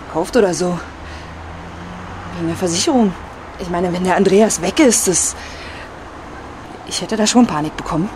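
An actress playing an acquaintance being interviewed, talking in a halting, vague, colloquial and gossipy way. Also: female voice, fairly low pitch, a little mellow, slight background noise, natural voice. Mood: gossipy, speculating. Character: hesitant, gossipy female acquaintance giving a witness interview. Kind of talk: naturalistic mock-documentary interview.

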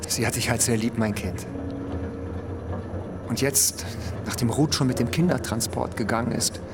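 A man playing a caring, worried father in a radio drama, speaking earnestly and gently to his child. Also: mid-range voice, normal speed, lightly acted. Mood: tender, heavy-hearted. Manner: tender, earnest, instructing. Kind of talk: German radio drama acting. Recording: loud train-ride noise behind.

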